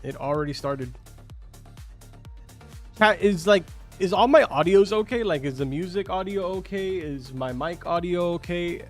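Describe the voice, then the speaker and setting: A man's voice: sits high, animated, measured pace. Young man, native Spanish speaker, streaming. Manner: chatty, filler-heavy, answers chat questions. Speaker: game streamer. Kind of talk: casual live-stream chat with viewers. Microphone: studio microphone. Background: faint game music.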